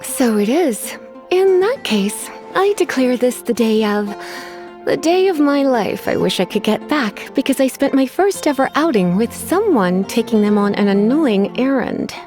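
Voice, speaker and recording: A woman's voice: a little high, expressive, darkish tone, faint music behind. A woman playing a languid, teasing librarian in a video game, coy and polite with menace underneath. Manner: coy, sighing, drawn-out politeness. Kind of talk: English video game voice acting.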